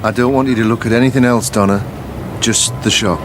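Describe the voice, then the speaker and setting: An actor playing a patient psychologist who draws out buried memories, speaking slowly and calmly in a coaxing, hypnotic way. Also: male voice, mid-range voice, lightly acted. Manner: calm, slow, coaxing, hypnotic questioning. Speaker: memory recall specialist. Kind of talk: radio drama acting. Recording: music playing under the voice.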